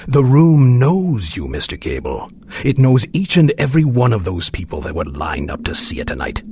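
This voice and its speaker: An actor playing a sinister, silky magician's mechanic in an audio drama, speaking in a formal, ominous, insinuating manner. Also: male voice, medium pitch, huge pitch swings, normal speed, dark tone, slight background noise. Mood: ominous relish.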